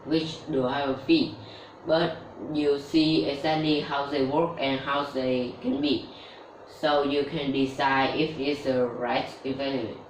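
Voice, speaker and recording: A woman giving a scripted tutorial on learning English with steady explanations, including a sponsor read. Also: rumbling low, slow pace. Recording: roomy echo, distant mic, faint background noise.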